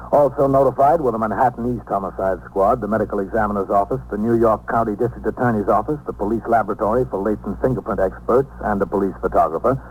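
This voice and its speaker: An American actor playing a composed police captain in a 1950s radio drama, narrating in a clipped, official, procedural manner. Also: male voice, on the low side, close to an everyday voice. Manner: matter-of-fact, procedural. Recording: noisy old 1950s radio recording.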